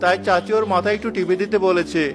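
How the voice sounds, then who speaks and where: A man's voice: sits high, steady tone, fast, over music, close to an everyday voice. A man voicing a meek, overworked orphan village boy in a cartoon, speaking in a plaintive, obedient way. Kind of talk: Bengali cartoon voice acting.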